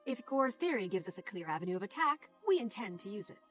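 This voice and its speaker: Cool, sly little spy. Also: female voice, medium pitch, big pitch swings, fast talker, a bit bright, soft background music. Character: cool female gnomish spy.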